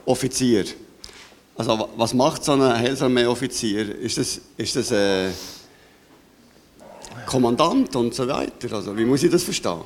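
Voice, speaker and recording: A man with a Swiss German accent leading a church service, interviewing a guest in a chatty, halting way and praying. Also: mid-range voice. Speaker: pastor.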